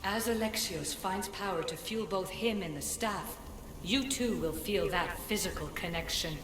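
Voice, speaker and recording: A woman playing an ancient, solemn spirit guide in a video game, speaking in a measured, formal and cryptic way. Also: mid-range voice, natural voice. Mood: calm, aloof. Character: ancient female Isu spirit in a staff, solemn commanding guide. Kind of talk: scripted game cutscene acting. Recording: echoey hall reverb over music.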